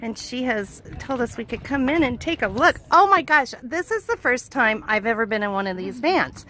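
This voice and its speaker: A woman with a high, bright voice hosts a casual vlog tour. She sounds enthusiastic and full of exclamations as she asks questions. The audio is a handheld outdoor recording with some wind noise. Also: expressive, normal speed. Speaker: vlogger interviewing a van owner. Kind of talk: casual chat while touring a van. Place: outdoors in open desert, in and beside a van. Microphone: handheld camera or phone. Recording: windy, noisy outdoor camera audio.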